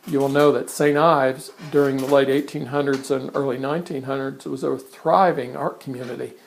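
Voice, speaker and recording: A man in his sixties with a gravelly, creaky voice talks plainly to the camera from his studio. His delivery is conversational and halting, full of restarts. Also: mid-range voice, low-quality recording. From born in England, raised in Kansas. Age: 60s. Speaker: artist introducing himself. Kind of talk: casual introductory monologue to camera. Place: his art studio in front of a blank canvas.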